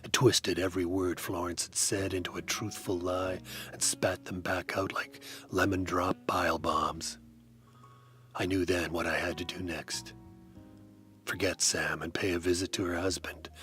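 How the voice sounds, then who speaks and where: Low-voiced man, dry deadpan noir narration, soft music behind. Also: measured pace. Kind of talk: hardboiled detective noir narration.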